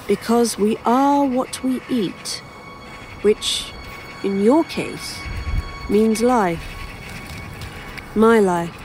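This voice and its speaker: A woman's voice: on the high side, very slow, pauses often, darkish tone, a little hiss or hum, low-quality recording. Sarcastic British dead woman writer. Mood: wry, probing. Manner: dry, sarcastic, wry. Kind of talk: audio horror drama acting.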